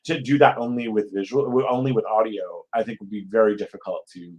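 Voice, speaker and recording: A man's voice: lowish voice, steady tone, fairly quick, a little mellow. A man speaking as an interview guest, thoughtful and hesitant, feeling his way through casual answers. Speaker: guest playwright.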